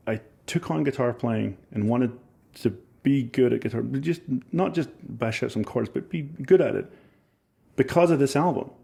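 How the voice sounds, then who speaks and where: A man in his forties with a Scottish accent softened by years in Ohio, a musician chatting in an interview and telling rambling, enthusiastic stories with self-mocking laughs. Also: mid-range voice, vocal fry, slightly rough. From Scotland. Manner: rambling, enthusiastic storyteller who laughs at himself. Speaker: musician guest. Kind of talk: casual interview chat.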